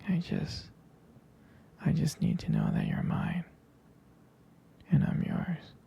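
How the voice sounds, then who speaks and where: A man playing a jealous, devoted young boyfriend in an intimate ASMR roleplay, speaking in a hesitant, tender, breathy half-whisper. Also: fairly high pitch, very animated, takes it slowly, warm tone, highs cut off, heavily acted. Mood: jealous, earnest.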